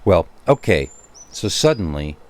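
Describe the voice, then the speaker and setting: Very deep-voiced man telling scary stories. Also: big pitch swings, a little slow. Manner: wry, conversational asides to the listener. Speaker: horror story narrator. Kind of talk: dramatic first-person scary story narration.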